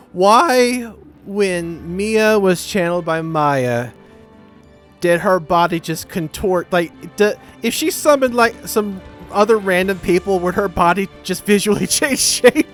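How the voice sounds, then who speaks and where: A high-pitched man hosts a game playthrough with lively, swinging intonation. He chats casually and jokes around, with faint music behind him. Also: takes it slowly. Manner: joking, playful. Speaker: gaming video host.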